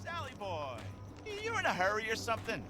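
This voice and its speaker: A man's voice: sits high, wildly swooping pitch, brisk, music in the background. A man playing a smug, needling crook on the take in an audio drama, with a cocky, taunting manner. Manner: taunting, mock-wounded, cocky.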